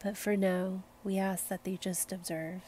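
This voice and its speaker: A woman leading a guided meditation in a gentle, soothing, unhurried manner. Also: mid-range voice, little pitch movement, slight background noise. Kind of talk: slow guided meditation narration.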